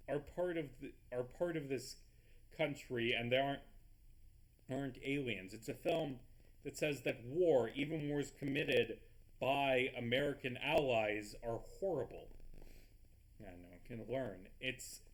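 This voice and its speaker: Gravelly stuffy-nosed man rambling. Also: medium pitch. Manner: rambling and excitable, swears often, trips over his words. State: stuffy nose. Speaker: gaming video host. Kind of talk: casual commentary over a gameplay recording.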